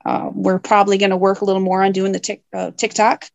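A young woman talks in a casual interview, recorded dry and close with no room sound. She is friendly and laughs easily, filling pauses with ums and uhs. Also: medium pitch, level tone, vocal fry, no room sound, lo-fi sound. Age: young adult. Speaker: haunted house owner.

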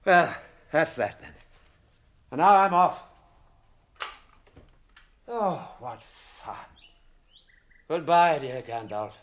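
An elderly British hobbit in a radio play. He is fussy and whimsical with old-fashioned turns, slow in his pacing and a little high in pitch for a man. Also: animated. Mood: cheerful, flustered. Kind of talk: British radio drama acting.

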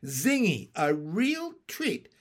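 A man voicing a big old bullfrog for a storybook, raspy, sly and taunting. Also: high-pitched, huge pitch swings, takes it slowly, exaggerated voice. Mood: gloating. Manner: taunting, snickering. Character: big old male bullfrog, gloating, sly, mocking bully. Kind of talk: animated storybook character reading.

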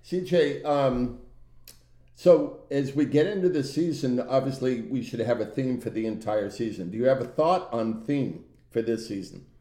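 A man co-hosting a podcast in casual, bantering chat with a loud, animated voice and a roomy, echoey sound. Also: mid-range voice, moderate pace. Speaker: podcast co-host. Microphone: own podcast microphone.

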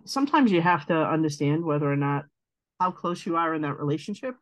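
A woman with a deep voice, a guest in an interview, speaking earnestly and thoughtfully in long winding sentences. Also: lively intonation, a little fast, dry recording. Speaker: guest, advocate. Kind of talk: reflective interview conversation.

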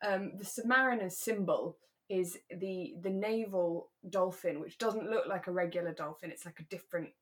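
A British woman chatting reflectively as a podcast co-host, in a relaxed conversation. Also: lowish voice, moderate pace. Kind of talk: relaxed conversational discussion of a radio drama.

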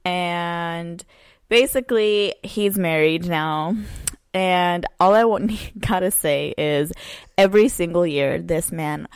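A young woman co-hosting a casual podcast, telling stories in an animated way, full of "like" and acted-out quotes. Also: lowish voice, slow pace, clear, resonant tone, no echo, clean studio sound. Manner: animated, lots of "like", acts out quotes. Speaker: podcast co-host. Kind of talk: casual storytelling chat.

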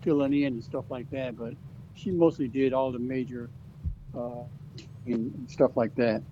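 A man's voice: mid-range voice, fairly even intonation, moderate pace, mellow. An older man on a dull, faintly noisy phone line as a call-in guest. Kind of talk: casual phone conversation.

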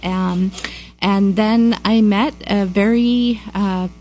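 A woman's voice: medium pitch, steady tone, slow pace, a little hiss or hum. Gracious woman guest on a talk show. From born in Kenya, grew up in Boston. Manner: warm, gracious, laughs easily. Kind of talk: interview.

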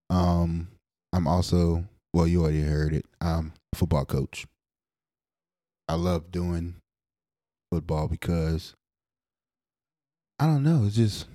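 A man's voice: sits low, dark tone, no room sound, clean studio sound. A man in his late twenties, co-hosting a podcast in a laid-back, slangy, teasing way. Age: 20s. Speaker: podcast co-host. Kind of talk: casual chat.

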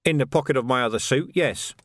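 A man voicing a wry, deadpan watch sergeant. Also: mid-range voice, fast talker, no room sound, clean studio sound, natural voice. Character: wry, dry-witted city watch sergeant.

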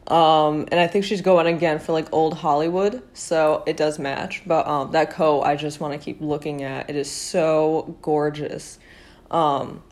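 A woman's voice: sits low, fairly even intonation, unhurried, darkish tone. A young woman hosting a podcast, chatting casually about fashion with a congested, nasal voice and lots of fillers. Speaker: podcast host.

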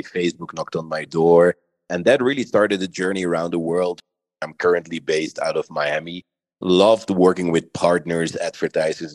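A man in his thirties from Belgium, answering interview questions in a measured, thoughtful way on a podcast. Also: sits low, a little mellow, dry recording. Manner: measured, thoughtful, often adds "right?". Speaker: podcast guest.

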